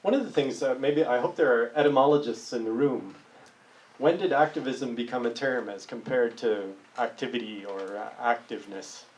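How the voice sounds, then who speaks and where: A man's voice: medium pitch, normal speed, a little mellow, poor audio. Thoughtful man leading a discussion. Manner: thoughtful, searching, with restarts. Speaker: guest speaker leading a discussion. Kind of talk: reflective public discussion. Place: public café discussion room.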